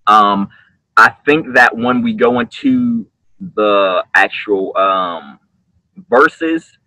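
A man, a singer, talking casually on a video call from a room at home, explaining earnestly with many halting restarts. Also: lowish voice, sing-song, sharp tone, dry recording, rough recording. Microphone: webcam on a video call.

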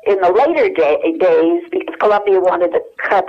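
A woman's voice: on the low side, lively intonation, normal speed. An older woman with an American accent, reminiscing casually as an interview guest and searching her memory hesitantly as she talks over the telephone. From United States. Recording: dull lo-fi telephone hook-up.

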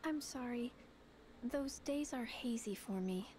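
A woman's voice: on the high side, a little slow, darkish tone, slight background noise, close to an everyday voice. An actress playing a sweet, polite teenage girl heroine in a game cutscene. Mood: apologetic, grateful. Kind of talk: dramatic game cutscene acting.

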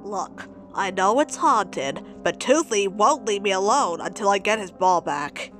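A woman's voice: medium pitch, animated, a little slow, fairly bright tone. A woman voicing an irritable, nerdy male cartoon anteater in an amateur comic dub, grumbling and sarcastic, dramatic when alarmed. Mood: annoyed. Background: music.

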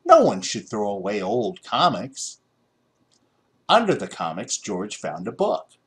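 Lively American man reading a story. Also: lowish voice, a little slow. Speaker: storybook reader. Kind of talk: expressive children's story narration.

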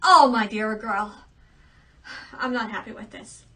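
A woman's voice: sits high, slow pace, pushed and bright, exaggerated voice. A woman voicing an indignant old king who scolds his daughter, recorded from a distance. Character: grumpy old king, a father demanding love. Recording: distant mic.

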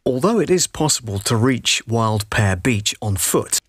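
A middle-aged man narrates a promotional script as a measured storyteller. His pitch is average for a man. The recording is close and dry, as from a voice-over booth. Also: animated, slightly rough, no room sound. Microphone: close booth microphone.

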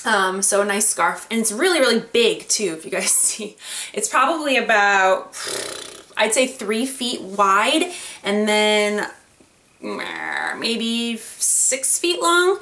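A woman's voice: mid-range voice, very animated, slow, sharp tone, echoey room, a little hiss or hum. A young woman vlogger talking casually at her desk about accessories and a giveaway, with plenty of ums. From Florida. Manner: chatty, lots of ums, upbeat. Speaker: beauty vlogger. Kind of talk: casual product haul talk. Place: home room with desk and lamp. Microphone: webcam at desk.

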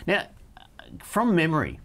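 A man's voice: fairly high pitch, huge pitch swings, a little slow, a bit bright. A middle-aged Australian man hosting a casual studio chat show, chatty and joking with his co-hosts and guest. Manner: chatty, jokey, cheerful. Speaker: host. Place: studio set with a bar backdrop.

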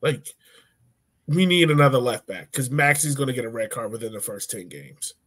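A man's voice: mid-range voice, lively intonation, normal speed, fairly bright tone, breathy edge. A man in his thirties co-hosting a casual soccer chat over a video call from home. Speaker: soccer podcast co-host. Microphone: video call microphone.

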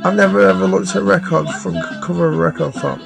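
A man in his thirties with a slightly hoarse voice, chatting casually on a webcam at home and rambling with plenty of ums and uhs. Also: high voice, very fast. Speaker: vinyl collector vlogger. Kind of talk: casual chat. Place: small room at home. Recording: music playing in the room. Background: music playing.

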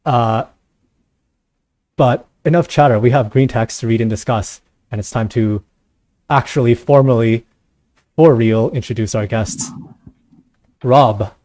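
A man's voice: medium pitch, measured pace, long pauses, darkish tone, dry recording. A man hosting a casual live panel show, sounding somewhat tired. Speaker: host.

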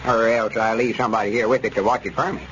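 A man's voice: fairly low pitch, level tone, quick pace, a bit bright, close to an everyday voice. Old rural Southern rustic, played by a male actor: trusting, folksy drawl, golden-age radio comedy, dull noisy recording. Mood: earnest, defensive. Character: folksy old country storekeeper turned circus owner, slow and trusting. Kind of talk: 1930s-40s radio comedy acting.

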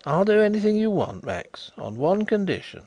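Man reading as brisk excitable gentleman, raised pitch, lively swings, gravelly edge, dry recording. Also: dry recording, full character voice. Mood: emphatic. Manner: hasty, emphatic.

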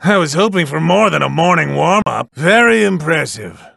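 An actor playing a haughty, playful cat-like god of destruction in a video game, with a theatrical, condescending drawl. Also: male voice, a little high, lively intonation, normal speed, creaky, fairly bright tone, no room sound, clean studio sound, exaggerated voice. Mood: smug, taunting. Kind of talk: video game fighting voice lines.

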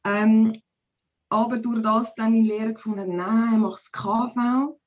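A woman's voice: on the high side, fairly even intonation, a little slow, a little mellow. Chatty woman with a Zurich Swiss German accent. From Zurich, Switzerland. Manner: chatty, many filler hesitations. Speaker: podcast guest. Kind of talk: casual conversation. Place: home room. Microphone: video call.

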